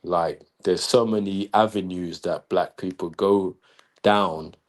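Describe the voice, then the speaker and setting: Deep-voiced man lecturing assertively. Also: fairly even intonation. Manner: assertive, lecturing, flowing with few pauses. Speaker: guest caller. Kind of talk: casual online talk-show chat.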